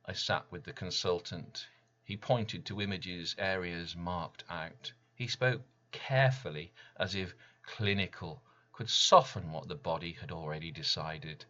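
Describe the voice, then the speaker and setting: A man giving a dramatic reading of his own poem in solemn, deliberate short phrases, with slightly muffled sound. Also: low voice, bright, forceful tone. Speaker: poet reading own work. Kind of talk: dramatic poetry recital.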